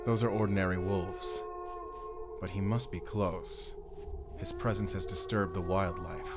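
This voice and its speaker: Deep-voiced, stern German monster hunter. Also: male voice, steady tone, a little slow, over loud music. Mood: grave, hushed, wary. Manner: terse, commanding, grave. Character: stern, secretive male German werewolf hunter. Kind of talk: cinematic audio drama acting.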